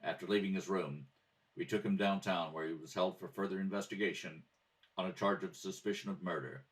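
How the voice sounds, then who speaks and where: A man reading scripted police procedural narration in a clipped, matter-of-fact, deadpan way. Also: low voice, fairly quick. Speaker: amateur radio theatre reader. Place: at a kitchen table. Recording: slightly distant home microphone.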